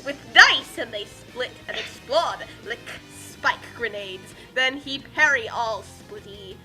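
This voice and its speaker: A woman's voice: on the high side, animated, takes it slowly, sharp tone. A woman co-hosting a dramatic fanfic reading with casual chat, theatrical when she reads. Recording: music behind the voice. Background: music.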